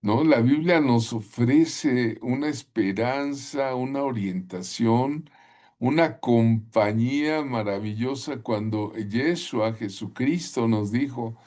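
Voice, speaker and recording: A man teaching a Bible school class in a preaching style. He talks deliberately, often pausing on "eh", adding tag questions, and calling on listeners at home to repeat phrases. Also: mid-range voice, darkish tone.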